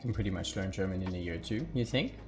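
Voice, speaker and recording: A young Hungarian man with a deep, low voice chats loosely with his viewers as he plays, leaning on fillers like "like" and "right". Soft music plays faintly under his talk. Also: expressive, quick pace. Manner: chatty, answers viewer chat, many fillers. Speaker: streamer. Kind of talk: casual chat while gaming.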